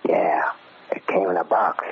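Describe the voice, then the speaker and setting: An actor playing a gossiping underworld tipster in an old radio crime drama, terse, slangy and confiding. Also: male voice, deep voice, steady tone, unhurried, vocal fry, whispered. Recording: tinny, phone-like old radio recording.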